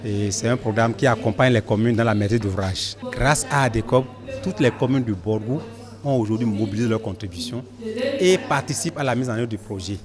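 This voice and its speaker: A man's voice: medium pitch, a little fast. A man making an informational statement. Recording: long reverb with music behind.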